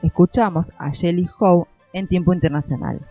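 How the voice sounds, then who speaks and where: A man delivers a scripted radio introduction in a steady announcer voice that sits a little high. The sound is dull and muffled, with faint music under him. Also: normal speed. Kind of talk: scripted news narration.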